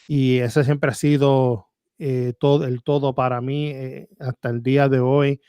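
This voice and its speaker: A man, a Bible teacher, talking as a podcast guest in halting, word-searching Spanish that he says is rusty. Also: medium pitch, level tone, mellow, clear, resonant tone, no echo, clean studio sound. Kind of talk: podcast interview testimony.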